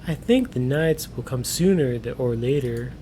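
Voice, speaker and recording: A man voicing a smug, teasing captive in a story, slow and condescending, with noise behind him. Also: expressive, dark tone, close to an everyday voice. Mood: sly, cool. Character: smug, teasing male captive with strange spells, slow and mocking. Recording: clear background noise with low rumble.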